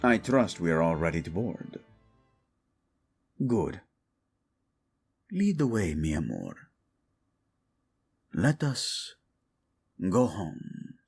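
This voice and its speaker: A man's voice: sits low, very animated, very slow, long pauses, darkish tone, breathy edge, heavily acted. A man playing a proud, devoted nobleman husband in a romantic audio drama, speaking formally and dramatically with tender endearments. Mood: tender, relieved. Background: background music.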